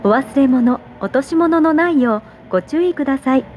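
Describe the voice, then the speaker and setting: A woman giving scripted subway announcements in a polite, measured, carefully enunciated way, over a train PA. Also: sits high, expressive, a little mellow. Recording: train PA with vehicle noise.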